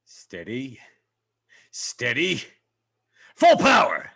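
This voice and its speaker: Man as gallant starship captain, animated pitch swings, raspy edge, formal and theatrical, sci-fi cartoon script read. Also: drawn-out words, heavily acted. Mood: urgent, commanding. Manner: formal, theatrical, clipped orders. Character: proud, gallant, old-fashioned male starship captain.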